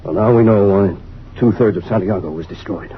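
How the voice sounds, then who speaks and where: An actor playing a gruff, commanding army general in an old-time radio drama. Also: male voice, fairly low pitch, a little fast, lightly acted. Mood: grim, questioning. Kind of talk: 1950s radio drama acting. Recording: dull, noisy lo-fi old radio.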